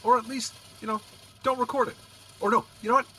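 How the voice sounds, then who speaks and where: A man's voice: very high-pitched, fast, a little hiss or hum. An actor playing a wry male police officer who teases and jokes dryly. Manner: dry joking, lively. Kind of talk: audio drama acting.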